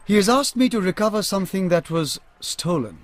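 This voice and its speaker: A shrewd detective in a radio play, with an Indian accent and a moderately high voice. He is courteous and composed, choosing words with dry, measured care. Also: big pitch swings. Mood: guarded, dry. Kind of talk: radio drama acting.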